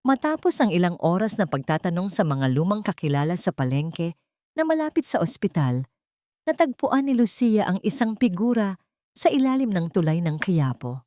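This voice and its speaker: Low-pitched Filipino woman narrating drama. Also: darkish tone, no echo, clean studio sound. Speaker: audiobook narrator. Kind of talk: dramatic scripted storytelling.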